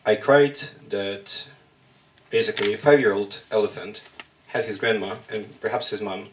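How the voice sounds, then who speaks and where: A man whose first language is Russian performs a spoken-word poem in measured, halting short phrases at a slam poetry workshop. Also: on the low side, a bit bright, far from the mic, slight background noise, rough recording.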